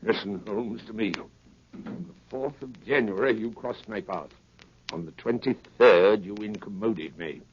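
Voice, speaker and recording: Criminal mastermind, low pitch, formal threats, deliberate, muffled noisy 1930s radio drama. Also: male voice, slightly rough. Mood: menacing.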